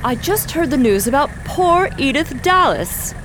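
A sinister society lady in a radio play, sly and affected. Her high voice swoops through slow, drawn-out phrases, with clear traffic noise behind. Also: sing-song. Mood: feigned shock. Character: sly, sinister society villainess feigning shock. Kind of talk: theatrical radio drama acting.

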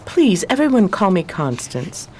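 An actress playing a polite, curious 1920s widow with a taste for adventure in a radio play. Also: female voice, medium pitch, sing-song, measured pace, darkish tone, a little hiss or hum. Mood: polite, sociable.

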